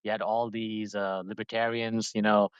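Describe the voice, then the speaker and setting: A man's voice: lowish voice, little pitch movement, moderate pace, clear, resonant tone, no echo, highs cut off. A man from Dubai in his thirties, a podcast guest talking casually and rambling, with lots of fillers and restarts. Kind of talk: casual interview talk.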